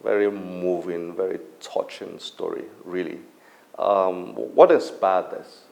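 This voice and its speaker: Deep, gravelly male TV host. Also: level tone, slow, a little mellow. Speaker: TV book show host. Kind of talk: broadcast interview.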